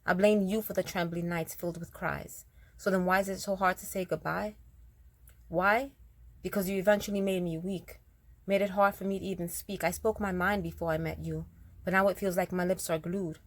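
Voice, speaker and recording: A woman with a low, naturally raspy voice that sounds a bit rougher than usual today. She talks straight to her listeners in an earnest, direct way and recites her own spoken word poem. The recording is dry and close, with no room sound. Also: fairly even intonation, normal speed, no echo. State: raspier than usual, throat slightly rough.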